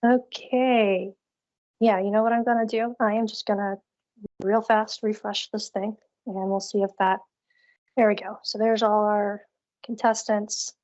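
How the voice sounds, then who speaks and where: A woman's voice: medium pitch, little pitch movement, a little slow, a little mellow. A woman co-hosting an online call, presenting a live screen-share demo in a casual, upbeat, chatty way. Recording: dull, lo-fi online call audio.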